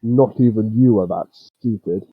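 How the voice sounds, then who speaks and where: A man voicing a grumpy, sardonic old pony ranger for a fan fiction reading, gruff in manner. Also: lowish voice, measured pace, no room sound, narrow, tinny audio. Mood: stern.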